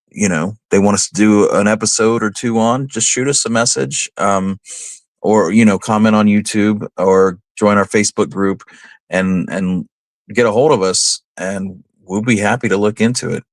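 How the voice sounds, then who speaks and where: A man co-hosting a history show, talking casually and unscripted in an easygoing, halting way. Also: lowish voice, no echo. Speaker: co-host of an Old West history show.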